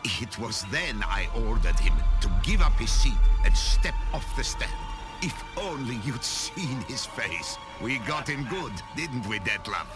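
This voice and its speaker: Haughty, swooping-voiced count. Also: male voice, mid-range voice, very animated, unhurried, rough recording. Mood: smug storytelling. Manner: pompous, theatrical, formal. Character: haughty, boastful nobleman count. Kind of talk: video game cutscene acting. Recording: music behind the voice.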